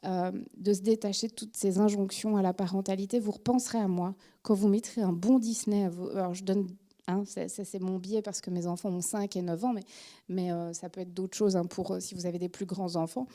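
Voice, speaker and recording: Chatty French woman lecturer with a mellow voice. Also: medium pitch, a little fast. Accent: French with a Belgian tinge. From France. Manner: chatty and animated, with many filler words and restarts. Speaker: psychologist giving a public talk on parenting. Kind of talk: informal lecture to an audience.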